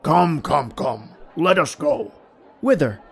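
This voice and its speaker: A man's voice: fairly high pitch, lively intonation, slow pace, gravelly, breathy edge, heavily acted. Man acting a tipsy, boastful wine snob. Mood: impatient, boastful. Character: tipsy, vain male Italian wine connoisseur at carnival. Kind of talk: old-time radio horror drama acting.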